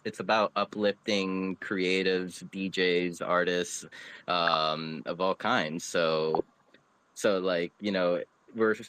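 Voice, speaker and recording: A man's voice: deep voice, background noise. Hesitant man hosting an online show. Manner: hesitant, many fillers and restarts. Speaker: host. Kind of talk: casual interview hosting.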